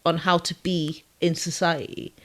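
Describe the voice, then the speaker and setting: A woman's voice: on the low side, level tone, a little slow. Laid-back woman with a southern English accent. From Jamaica, raised in southern England. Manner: thinks aloud with restarts and small laughs. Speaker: podcast co-host. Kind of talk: casual conversational chat.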